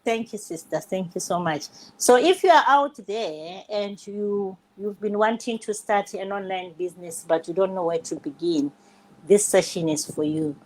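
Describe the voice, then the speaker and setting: A woman's voice: lowish voice, a little mellow, rough recording. An older woman, a grandmother, hosting a live online session and greeting viewers and panelists in a welcoming way. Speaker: host.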